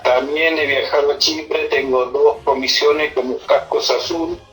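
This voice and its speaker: Halting middle-aged Argentine man on a phone. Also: on the high side, steady tone, fairly bright tone. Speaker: army senior non-commissioned officer being interviewed. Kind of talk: informal interview answers, personal recollection. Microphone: telephone. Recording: phone call interview with music.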